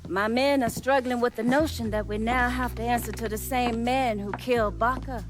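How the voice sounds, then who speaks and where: A woman playing a shrewd, menacing gang leader in a video game, with a measured, ominous manner. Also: fairly high pitch, fairly even intonation, over music, lightly acted. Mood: measured, pointed. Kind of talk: video game cutscene dialogue.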